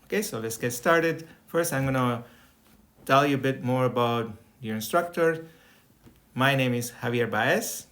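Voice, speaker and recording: A man's voice: mid-range voice, unhurried, a bit bright. A middle-aged man from Colombia with a Colombian accent, a native Spanish speaker, giving an online Spanish lesson over a video call and checking in with "okay?" as he explains each step. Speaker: Spanish teacher. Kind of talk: online lesson with screen share. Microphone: webcam or laptop mic on video call.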